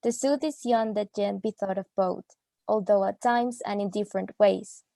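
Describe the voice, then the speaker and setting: A woman's voice: mid-range voice, steady tone, moderate pace, vocal fry, mellow, no room sound, clean studio sound, lightly acted. A woman playing a solemn, wounded young woman who declaims in formal, archaic phrasing in a radio play. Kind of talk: amateur radio play acting.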